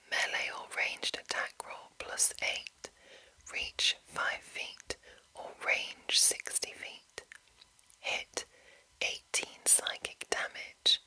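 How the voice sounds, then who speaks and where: A woman hosting an ASMR reading, whispering in a hushed, deliberate way and lingering over short phrases. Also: rough recording. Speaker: ASMR host. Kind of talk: whispered ASMR reading of a game manual with casual commentary.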